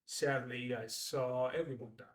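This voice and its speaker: Male actor as charismatic climber-author, late thirties, theatrical drama delivery, weighty pauses, medium pitch, echoey distant recording. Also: fairly even intonation, close to an everyday voice. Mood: polished, gracious. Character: charismatic best-selling mountaineer, late thirties, slick and self-mythologizing. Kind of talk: dramatic acting at a table read. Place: indoor room at a filmed table read. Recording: echoey room, distant microphone.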